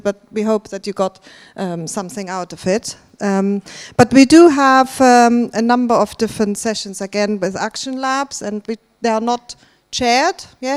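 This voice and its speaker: Woman, conference host, fairly high voice, chatty, talks to the crowd. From Germany. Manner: chatty, laughing, addresses the audience directly. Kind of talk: conference stage address. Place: large conference hall.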